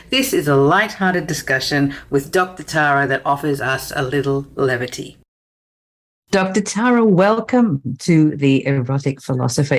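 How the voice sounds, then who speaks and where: A middle-aged woman hosting a podcast about sex and relationships, moving from a scripted intro into relaxed interview chat. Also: low voice. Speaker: podcast host, sexologist.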